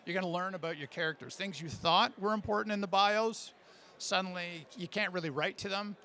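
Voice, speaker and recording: A man giving a writing workshop, answering audience questions in an animated, informal way that often stops and restarts. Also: high-pitched. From Canada. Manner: animated, informal, halting, self-interrupting. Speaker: speaker giving a writing workshop, audio fiction creator. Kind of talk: conference talk question and answer.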